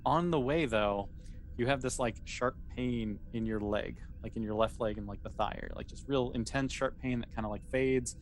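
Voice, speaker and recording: A man's voice: mid-range voice, moderate pace, a little hiss or hum. A man running a tabletop game as game master, narrating in a casual, easygoing, improvised way.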